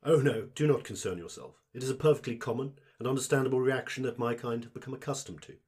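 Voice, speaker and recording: Man playing a fawning, husky fungal shopkeeper. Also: medium pitch, fast talker, a little mellow, lightly acted. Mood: politely explaining. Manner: fawning, formal, over-polite servile patter. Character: obsequious fungal parasite shopkeeper speaking through a host body. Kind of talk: audio drama acting.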